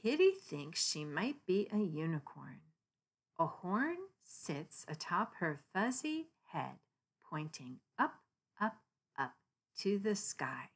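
A woman narrating a children's picture book in an expressive read-aloud style. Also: lowish voice, slow, slightly rough.

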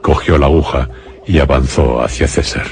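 A man's voice: extremely low pitch, moderate pace, vocal fry, a little hiss or hum. A man narrating a scripted suspense radio play in a solemn storytelling manner. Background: prominent dramatic music.

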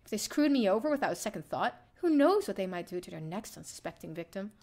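A young woman streamer reading a visual novel aloud in an expressive, theatrical first-person narration. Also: mid-range voice, fast talker. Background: soft game music.

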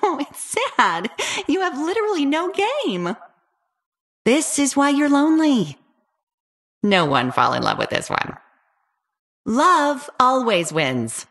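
A lovestruck warrior heroine from a video game. Her voice is high and bright, and she takes her time over short, dramatic lines. The delivery is playful and flirty. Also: huge pitch swings, takes it slowly, long pauses, full character voice. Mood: teasing. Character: playful, lovestruck winged female warrior hero.

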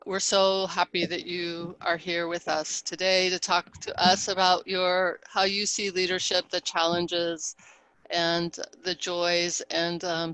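A woman's voice: lowish voice, a bit bright, no echo, rough recording. A middle-aged woman hosting an interview on a video call, asking encouraging questions. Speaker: podcast host. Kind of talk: interview host. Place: home office, indoors.

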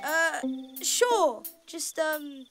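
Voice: sits high, animated, very slow, exaggerated voice. An actor playing a young boy bus in a children's cartoon, boastful but secretly scared. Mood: feigned bravado. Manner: hesitant, acting tough. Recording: loud music behind.

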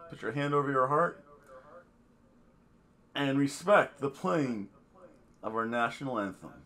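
A man in his fifties with a slightly hoarse voice, talking casually to a webcam at home and then calling wrestling video game matches in a loud announcer manner. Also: on the high side. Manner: loud, excited play-by-play announcing; quiet and relaxed when talking to camera. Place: home office room with bookshelves. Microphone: webcam at desk. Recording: echoey room, distant mic, loud background noise.

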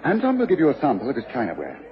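An actor playing a commanding, incisive gentleman detective with a British accent in a radio drama. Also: mid-range voice, huge pitch swings, racing. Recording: dull, noisy radio broadcast, crowd murmur.

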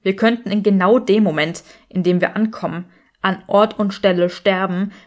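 A woman's voice: mid-range voice, steady tone, normal speed, a little mellow, lightly acted. A woman voicing a sardonic, grumbling young male wizard in an audiobook, dry and emphatic. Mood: urgent warning.

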